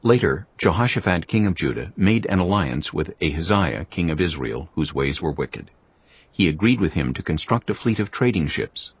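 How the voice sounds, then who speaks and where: Deep-voiced man narrating scripture. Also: animated, breathy edge, dry recording. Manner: measured, solemn reading. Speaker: narrator of an audio Bible. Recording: dull lo-fi audio with faint music.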